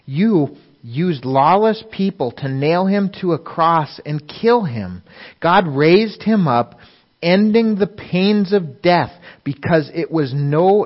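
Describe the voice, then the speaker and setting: A man's voice: a little high, highs cut off. A man giving a sermon, reading Bible passages aloud and explaining them. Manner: reads scripture aloud then explains it. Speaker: preacher.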